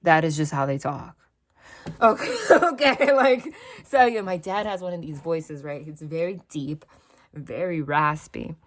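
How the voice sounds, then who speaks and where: Woman, low voice, natural vocal fry, slightly raspy, chatty rambling tarot reader, frank asides. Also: animated, unhurried. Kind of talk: casual tarot reading talk to viewers. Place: indoors at a desk in her parents' house.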